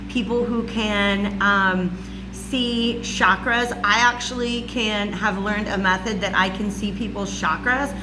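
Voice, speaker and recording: A loud woman with a raspy voice gives a chatty spiritual lesson at home and often checks in with "okay?". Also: mid-range voice. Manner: chatty, says "okay?" and "all right?" often. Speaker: spiritual channel host. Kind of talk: casual teaching monologue. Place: warm room at home with a fan running. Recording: home recording with clear hum.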